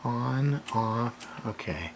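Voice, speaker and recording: A man's voice: medium pitch, lively intonation, extremely slow, vocal fry. A man giving casual hands-on unboxing commentary, muttering and grumbling to himself with some mild swearing. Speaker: hobbyist radio repairer presenting an unboxing. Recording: noisy home recording with handling clicks.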